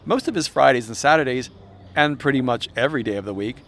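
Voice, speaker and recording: A man's voice: mid-range voice, quick pace, fairly bright tone. A man reading his own self-help audiobook in a wry, irreverent, conversational narrating style, slipping into chatty asides. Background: music soundscape.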